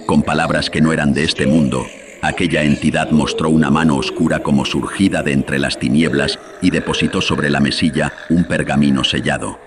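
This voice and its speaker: A man's voice: rumbling low, fairly even intonation, moderate pace, a crowd in the background. A man narrating a scripted horror story in a solemn, ominous manner, his voice processed with filters. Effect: voice processed with audio filters.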